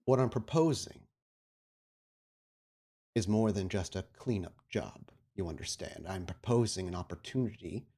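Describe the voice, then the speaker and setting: Man playing a deep, measured, formal vampire prince. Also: expressive, warm tone. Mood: persuasive, grave. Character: stern, formal, calculating male vampire prince of a city. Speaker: storyteller playing a character.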